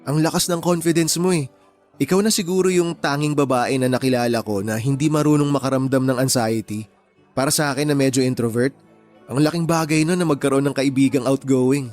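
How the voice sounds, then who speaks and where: An actor playing a brainy, introverted, considerate young man in a radio drama, speaking in a measured, gentle way. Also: medium pitch, fairly even intonation, faint music behind, lightly acted. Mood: friendly, candid.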